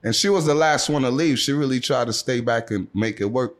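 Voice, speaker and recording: A man's voice: mid-range voice, brisk. A man in his forties from Trinidad with a New York accent, talking casually as an interview guest with a lot of street slang and easygoing "yeah, man" fillers.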